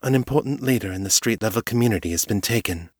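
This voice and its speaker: A man's voice: fairly low pitch, fast, a little mellow, slightly rough, dry recording, clean studio sound, voice pushed up. A man voicing a blunt, principled female vampire who presses her points directly. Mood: pressing.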